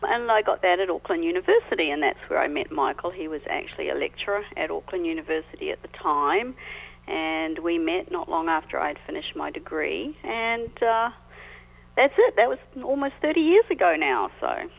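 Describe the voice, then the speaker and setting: A middle-aged woman from New Zealand with a New Zealand accent, answering questions as an expert guest in a friendly, measured way over the phone. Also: fairly low pitch, lively intonation, creaky. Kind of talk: phone interview. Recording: tinny phone line.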